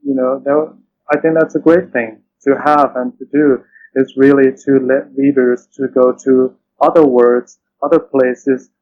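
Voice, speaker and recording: A man's voice: medium pitch, little pitch movement, measured pace. Muffled, noisy recording of a hesitant man. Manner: hesitant, repeats words, searching phrasing. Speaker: interview guest, literary translator. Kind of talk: conversational interview answers. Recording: dull lo-fi sound, loud-ish background noise.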